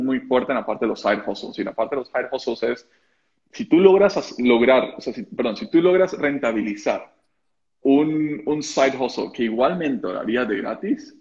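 A man hosting a live-stream interview, asking long rambling questions with frequent restarts. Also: mid-range voice. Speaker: host, interviewer. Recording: dull lo-fi audio.

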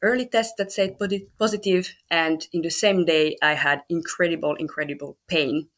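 Low-voiced French woman guest. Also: fairly even intonation, moderate pace. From France. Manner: thoughtful, hesitant, with fillers. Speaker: guest mother. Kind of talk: casual interview.

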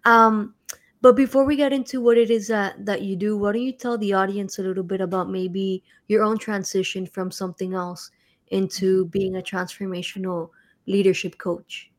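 A woman's voice: mid-range voice, fairly even intonation, normal speed. Upbeat woman hosting a podcast. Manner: friendly, upbeat questions with fillers. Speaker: podcast host. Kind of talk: podcast interview.

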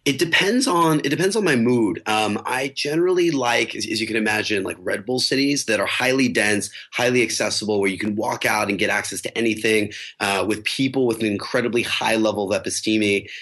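A man's voice: fairly low pitch, a little fast, hardly any pauses, a bit bright. A man in his twenties, a podcast guest talking casually and reflectively, with many fillers and restarts. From United States. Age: 20s. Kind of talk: casual interview talk.

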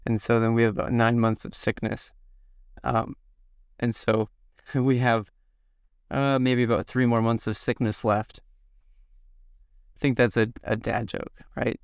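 Man, thirties, from the Midwest, slightly hoarse, gravelly yet smooth, halting self-interview. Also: mid-range voice, little pitch movement, no echo, studio quality. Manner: slow, halting, reflective. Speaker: podcast guest.

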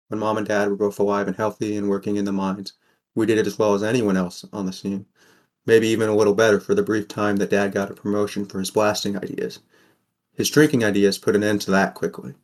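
A man's voice: on the low side, fairly even intonation, a little fast, natural voice. A man playing a wry, burdened teenage boy who narrates an audio drama in a plain, understated way. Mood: wry, reflective. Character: sixteen-year-old boy, wry, burdened, caring narrator. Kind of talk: first-person scripted narration, audio fiction.

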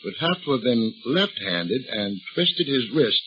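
A man's voice: medium pitch, very animated, a little slow, highs cut off, a little hiss or hum. An actor playing a folksy, patient small-town American lawman who hesitates and insinuates, in old-time radio drama style. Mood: musing.

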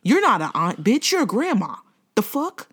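A woman in her thirties co-hosting a casual gossip chat, blunt and profane, launching into animated rants. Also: medium pitch, moderate pace, breathy edge. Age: 30s. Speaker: podcast co-host.

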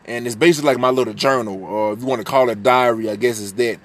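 A young man in his twenties from the United States with a deep voice, talking candidly and loosely in a personal podcast monologue, swearing freely and restarting his sentences. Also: fast, a bit bright. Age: 20s. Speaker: podcast host.

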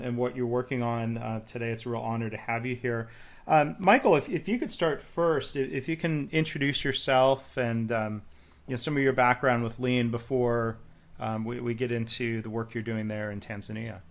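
A man's voice: mid-range voice, moderate pace, gravelly. Man hosting a podcast, easygoing. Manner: relaxed, with frequent ums and uhs. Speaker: podcast host. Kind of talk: podcast interview and promo reads.

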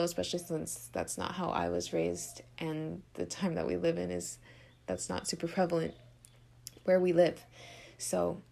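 A woman's voice: on the low side, creaky, a little mellow. A woman hosts a podcast, chatting casually and self-deprecatingly, often trailing off and restarting. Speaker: podcast host. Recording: faint background noise.

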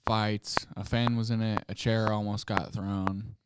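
A young man telling anecdotes in casual chat, in an informal, halting way. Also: on the low side, flat delivery. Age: young adult. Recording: very noisy recording with clicks.

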